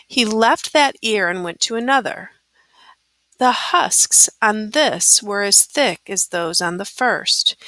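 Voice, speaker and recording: A woman reads a storybook aloud in a bright, slightly high voice. Her phrasing is careful and expressive, with lively rises and falls. The sound is dry. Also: no echo. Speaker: storybook reader. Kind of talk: children's story read-aloud.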